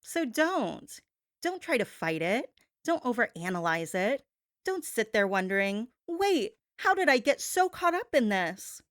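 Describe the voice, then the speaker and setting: A woman's voice: fairly high pitch, big pitch swings, no echo, studio quality. Sassy, dramatic gossip show hostess, played by a woman. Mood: teasing, insistent. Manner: dramatic, conspiratorial, drawn-out emphasis, coaxing. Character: sassy female gossip radio host, teasing and hypnotic. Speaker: radio gossip show host. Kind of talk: talk-show gossip monologue blended with hypnosis induction.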